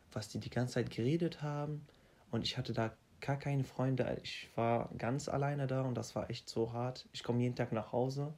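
A young man, talking in an even, middle-pitched voice. He speaks haltingly and often restarts his sentences. His phrasing stays plain and simple. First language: Arabic. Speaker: interviewee. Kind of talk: casual interview.

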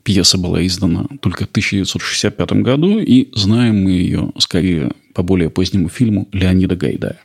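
A man's voice: low-pitched, a little fast, vocal fry, few pauses, slightly rough. A man hosting a history podcast, narrating in an explanatory way with rhetorical questions. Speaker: podcast host.

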